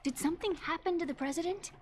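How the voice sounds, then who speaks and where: A woman's voice: extremely high pitch, quick pace, a little hiss or hum. A woman playing a young computer programmer in a video game cutscene, bitter yet matter-of-fact, with precise delivery. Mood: surprised, anxious. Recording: game music behind the voice.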